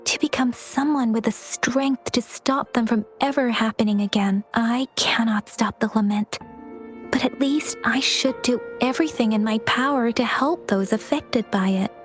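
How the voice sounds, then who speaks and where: A woman voicing a gentle, earnest young female outrider in a video game cutscene, speaking softly and reflectively with hesitant pauses. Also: a little high, lightly acted. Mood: troubled, earnest. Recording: game music behind the voice. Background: game soundtrack music.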